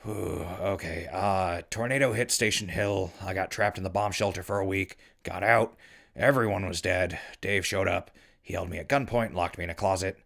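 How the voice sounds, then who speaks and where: Low, creaky-voiced wry survivor played by a man. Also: steady tone, normal speed, fairly bright tone, heavily acted. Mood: weary, matter-of-fact. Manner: casual, hesitant, dryly sarcastic. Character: wry, weary male wasteland survivor running a radio station. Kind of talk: naturalistic audio drama acting.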